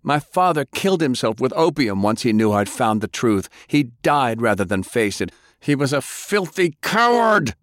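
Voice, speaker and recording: A man's voice: mid-range voice, very animated, normal speed, few pauses, no room sound, studio quality, pitched above the performer's own voice, close to an everyday voice. An actor playing a bitter, righteous young man with magic powers in an audiobook, intense and accusing. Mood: enraged.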